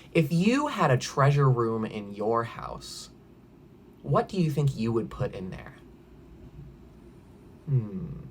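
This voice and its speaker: Animated man reading to young children. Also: on the low side, slight background noise. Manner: animated, exaggerated, prompting child listeners with questions. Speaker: preschool drama teacher reading a storybook aloud. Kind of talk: interactive children's read-aloud.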